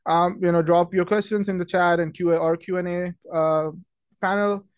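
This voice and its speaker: A man's voice: sits high, flat delivery, moderate pace, smooth, dry recording, dull audio. Chatty man hosting a webinar. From Pakistan. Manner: friendly, chatty, many ums and restarts. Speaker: webinar host, product marketing manager. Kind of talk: casual webinar presentation.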